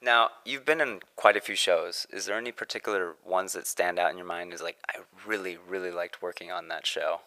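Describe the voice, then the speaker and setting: Low bright-toned guy asking the questions, bit off mic, faint hiss behind. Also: brisk, few pauses. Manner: short encouraging prompts. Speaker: interviewer. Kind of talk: interview questions.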